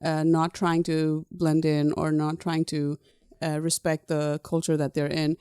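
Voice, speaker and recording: Woman podcast host from Nepal, native Nepali speaker, conversational, clean smooth tone, very dry recording. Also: low-pitched, flat delivery, unhurried, mellow, no echo. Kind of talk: casual conversational podcast talk.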